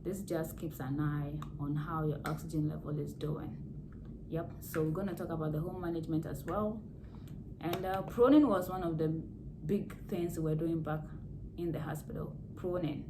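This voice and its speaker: Hoarse nurse lady vlogging, low voice, says things twice, noisy home recording. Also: steady tone, normal speed. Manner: plain explaining, repeats key words. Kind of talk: casual health advice vlog.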